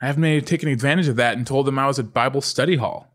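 A man's voice: medium pitch, fast, lightly acted. A man playing a cheerful, affectionate mother of two teenagers, upbeat and coaxing, in a comedy script read.

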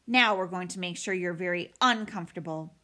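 A woman's voice: fairly high pitch, big pitch swings, moderate pace, sharp tone, heavily acted. A storybook bully of a young bear, acted out by a woman reading aloud. She is a bossy older sister with a sarcastic edge. She sneers and taunts as she talks. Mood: menacing.

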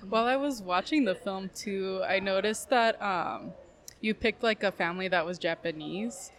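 A woman co-hosting a film podcast, asking soft, tentative questions. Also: mid-range voice, moderate pace, a little hiss or hum. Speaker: podcast co-host. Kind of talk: casual interview.

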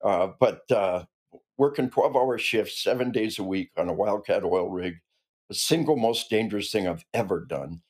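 A man's voice: fairly low pitch, moderate pace. A man in his sixties who grew up in the American Midwest, a retired army officer speaking earnestly and emphatically as a podcast guest. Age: 60s. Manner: earnest and emphatic, with frequent restarts. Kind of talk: conversational interview.

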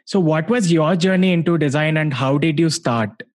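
A man's voice: a little high, moderate pace. A young man from India hosting a podcast, reading a scripted introduction and then asking questions. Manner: reads a scripted intro in a steady way. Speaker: podcast host.

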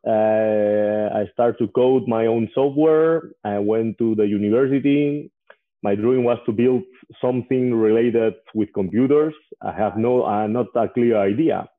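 A man in his forties from Spain, an English speaker who pauses often with "uh" as an interview guest. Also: fairly low pitch. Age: 40s. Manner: hesitant, frequent "uh" fillers. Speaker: guest, investor. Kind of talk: casual interview. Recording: tinny phone-like line.

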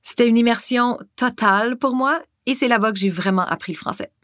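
Anglophone woman from Prince Edward Island, measured and articulate, dry close sound. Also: fairly high pitch, a bit bright, no echo. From Prince Edward Island, Canada. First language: English. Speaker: interviewee. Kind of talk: prepared testimony.

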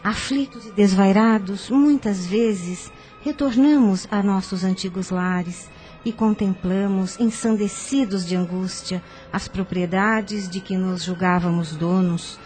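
An actress playing a kindly, assured older secretary with a husky voice in a radio soap opera. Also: female voice, medium pitch, measured pace, a little mellow, faint music behind, lightly acted. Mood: solemn.

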